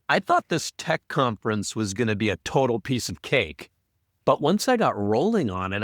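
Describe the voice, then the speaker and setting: A man's voice: medium pitch, no echo. A man co-hosting a casual podcast discussion as its audio producer, with dry, teasing banter.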